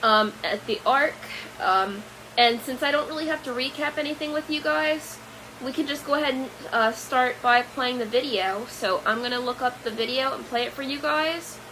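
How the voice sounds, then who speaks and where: A woman's voice: high-pitched, normal speed, sharp tone, slight background noise, rough recording. A woman hosting a casual podcast, introducing a video in a loose, rambling way with frequent ums. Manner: rambling with many ums, easygoing. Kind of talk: casual chat.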